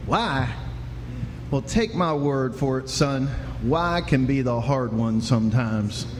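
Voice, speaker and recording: An actor playing a good-natured, bumbling frontier sheriff, folksy, hesitant and wry, in a live western radio play. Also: male voice, medium pitch, lively intonation, slow pace. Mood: kindly, coaxing. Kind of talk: live old-time western radio drama acting. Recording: echoey hall with faint noise.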